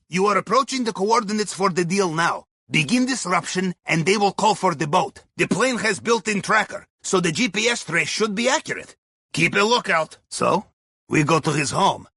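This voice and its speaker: Husky, bright-voiced Russian heist planner. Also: male voice, high voice, no room sound, studio quality, exaggerated voice. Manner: wry, folksy, drops articles. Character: wry, upbeat male submarine captain's sidekick and heist planner. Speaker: mission guide. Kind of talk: scripted video game voice lines.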